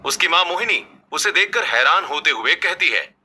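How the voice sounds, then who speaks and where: Bright, high-voiced man narrating a story. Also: animated, moderate pace, husky. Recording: faint background music.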